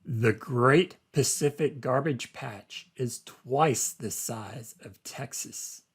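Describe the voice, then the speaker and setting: A man reads aloud as a teacher would for a class. His pace is very slow and deliberate, with simple phrasing and short explanations. His voice sits at a fairly high pitch for a man. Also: husky.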